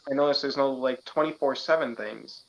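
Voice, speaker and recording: Laid-back fighter, casual chat. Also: male voice, mid-range voice, brisk, creaky, highs cut off, a little hiss or hum. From Wisconsin. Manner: laid-back, plainspoken, frequent fillers. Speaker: interviewee, mixed martial artist.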